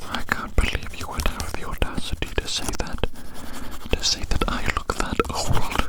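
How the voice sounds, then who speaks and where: A man whispering very close to the mic in a rambling ASMR roleplay, repeating phrases and putting teasing questions to the listener. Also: normal speed. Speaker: ASMR host. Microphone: very close ASMR microphone.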